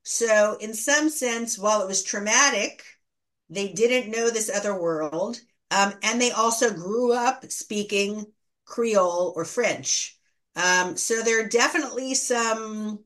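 A woman's voice: mid-range voice, unhurried, fairly bright tone, low-quality recording. A woman speaking as an academic interview guest, explaining in a thoughtful, didactic, conversational way and correcting herself as she goes. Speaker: history scholar and teacher, interview guest.

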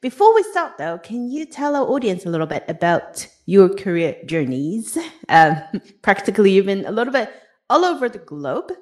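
Lively, animated woman podcast host. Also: medium pitch, normal speed. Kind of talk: conversational interview.

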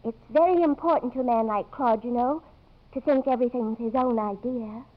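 Actress as high-voiced fluttery faculty wife. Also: female voice, brisk, natural voice. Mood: coaxing. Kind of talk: 1950s radio drama acting. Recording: muffled old 1950s radio broadcast.

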